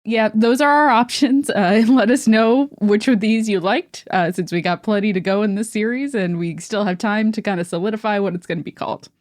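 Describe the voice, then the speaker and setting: A woman's voice: mid-range voice, steady tone, fairly quick, hardly any pauses, a little mellow, dry recording, studio quality. A woman co-hosting a podcast, explaining mythology in a chatty way with filler words. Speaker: podcast co-host. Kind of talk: casual chat, explaining mythology.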